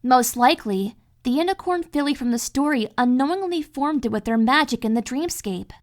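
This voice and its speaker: A woman voicing a calm, caring cartoon pony mentor in an audio story, reassuring and explanatory. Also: sits high, moderate pace. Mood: thoughtful. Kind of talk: dramatic fan fiction audio reading.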